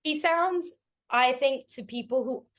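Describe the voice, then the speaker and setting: A woman's voice: sits high, a little slow. A woman with a mixed British and American accent, grew up in London and Italy, chatting as an actor guest in a casual interview, laughing often and correcting herself.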